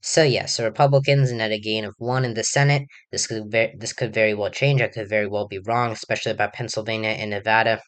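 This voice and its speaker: A young man with a somewhat low, hoarse voice talks through political predictions off the cuff. He rambles, leans on fillers and keeps restarting his sentences. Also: fairly even intonation, fast talker, few pauses, a bit bright. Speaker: political commentator. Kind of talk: casual election prediction monologue.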